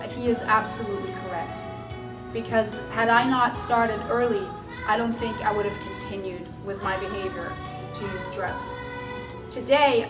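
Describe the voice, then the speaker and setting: A woman from Switzerland giving an earnest, emphatic public speech as an anti-drug activist. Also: mid-range voice. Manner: earnest, emphatic personal testimony. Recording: echoey room, distant mic, music behind.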